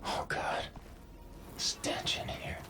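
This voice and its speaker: A man playing a gruff, laconic soldier hero in a video game, muttering low in terse, deadpan phrases. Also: very slow, in a whisper, recorded from a distance, exaggerated voice. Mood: grim, terse. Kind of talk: video game protagonist acting.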